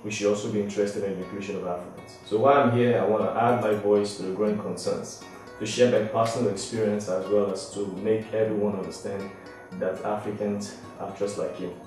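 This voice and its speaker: Young Nigerian-born man, low even voice, earnest statement, roomy sound. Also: brisk, soft background music. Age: young adult. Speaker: former exchange student. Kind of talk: prepared statement to camera. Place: indoor room. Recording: echoey room, mic at a distance.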